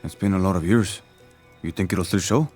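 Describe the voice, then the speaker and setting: An easygoing older craftsman in a video game scene. His voice is deep, with a relaxed, wry and quietly proud delivery. Light music sits behind him. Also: moderate pace, slightly rough. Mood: curious. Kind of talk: video game cutscene acting.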